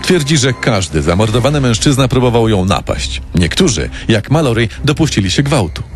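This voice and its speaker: A man's voice: medium pitch, sing-song, a little fast. A man narrating a scripted true-crime radio story in a dramatic storytelling manner. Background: prominent music bed.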